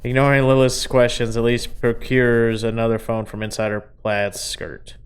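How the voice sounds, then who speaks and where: A man's voice: mid-range voice, flat delivery, moderate pace, clear, resonant tone. A man reading a visual novel aloud as narration, breaking off for casual commentary.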